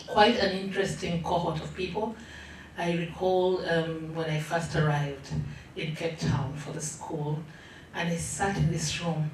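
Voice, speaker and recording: A middle-aged woman with a Ugandan accent, an association official speaking earnestly and in a measured way in an interview, with frequent ums. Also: deep voice, slight background noise. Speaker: local government association official. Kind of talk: testimonial interview. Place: large room. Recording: very echoey room, mic set far off.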